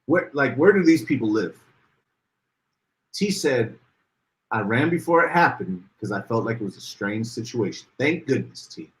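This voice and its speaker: Animated man co-hosting a podcast. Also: normal speed, poor audio. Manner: animated, rises in pitch when worked up. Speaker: podcast co-host. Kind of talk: casual livestream chat reading viewer comments.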